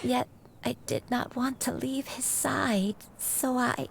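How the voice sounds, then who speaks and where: A gravelly old crane spirit. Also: female voice, sits high, slight background noise, heavily acted. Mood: remorseful. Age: older. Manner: measured, solemn storytelling. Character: elderly crane spirit in human form, dignified, remorseful. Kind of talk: video game voice acting.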